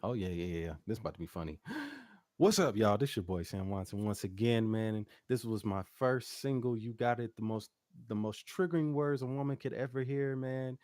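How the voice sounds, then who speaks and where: A man's voice: mid-range voice, expressive, normal speed, a little mellow, no echo, studio quality. A man talking casually as a guest music artist in an interview, slangy and rambling, often checking that the listener follows. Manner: slangy, rambling, frequent "you know what I'm saying".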